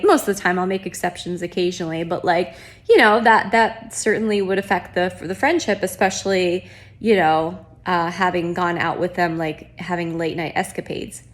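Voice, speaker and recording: A woman's voice: fairly low pitch, gravelly, fairly bright tone. A woman hosting her own podcast as a life coach, talking casually with lots of fillers, sounding nasal from a cold. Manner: chatty, many fillers like "um" and "like". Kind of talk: casual solo podcast talk.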